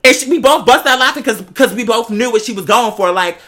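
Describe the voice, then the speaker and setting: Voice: medium pitch, expressive, fast, a bit bright. A young adult with an American accent telling gossipy stories to followers in a sassy, slangy, emphatic way, repeating phrases for effect. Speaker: vlogger addressing patrons. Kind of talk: casual gossipy storytelling.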